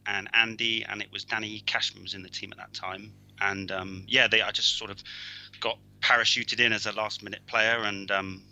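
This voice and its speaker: Gravelly low-voiced man from Wales. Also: sharp tone, rough recording. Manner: hesitant, many fillers and restarts. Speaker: guest player. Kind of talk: casual chat.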